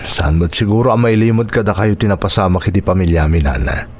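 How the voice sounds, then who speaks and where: Low-voiced humble Ilocano father, acted by a man. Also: fast, slight background noise, lightly acted. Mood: troubled, humble. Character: humble widowed Ilocano father, grateful and troubled. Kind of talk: Ilocano radio drama acting.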